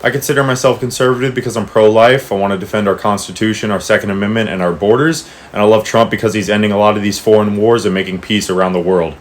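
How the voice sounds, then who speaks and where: An eighteen-year-old man from Atlanta, introducing himself to the camera in a quick, upbeat way. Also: lowish voice, steady tone. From Atlanta, Georgia.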